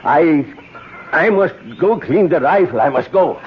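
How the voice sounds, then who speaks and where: A man's voice: on the high side, animated, a little slow. An actor in a radio drama playing a humble, anxious native camp foreman with formal, careful, deferential speech. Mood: agitated, fearful. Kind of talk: 1970s radio drama acting. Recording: muffled, noisy old radio broadcast.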